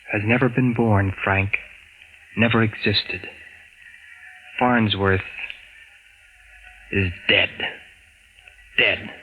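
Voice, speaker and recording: An actor playing a grave, solemn man from the future in a 1940s radio drama, speaking formally and deliberately. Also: lowish voice, phone-quality sound. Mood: grieving, grave. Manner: deliberate, formal, uncontracted phrasing. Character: solemn, grave male time traveler from the future. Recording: noisy vintage radio recording.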